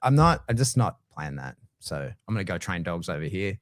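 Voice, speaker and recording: A middle-aged Australian man talking casually as a guest, blunt and swearing freely, with lively swings in his voice. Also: deep voice, fairly quick, dry recording, clean studio sound. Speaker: guest dog trainer. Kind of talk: casual conversation.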